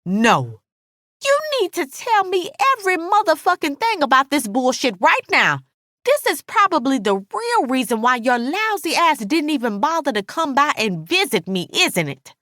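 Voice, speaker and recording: A woman acting a shrill, enraged betrayed woman. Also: sing-song, sharp tone, dry recording, clean studio sound, heavily acted. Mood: furious. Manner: taunting, indignant, drawn-out emphatic delivery. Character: enraged betrayed woman, loud, foul-mouthed and vengeful. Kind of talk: dramatic audiobook character dialogue.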